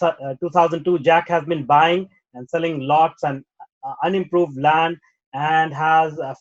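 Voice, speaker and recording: Man, podcast host, a bit higher pitch, scripted intro, uh-filled questions. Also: dull audio. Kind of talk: podcast interview hosting.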